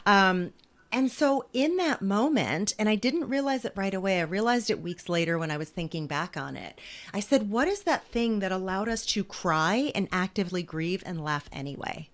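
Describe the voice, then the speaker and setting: A woman in her forties, an author talking as a guest in a thoughtful, measured way and laughing easily. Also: medium pitch, expressive. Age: 40s. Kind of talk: reflective interview conversation.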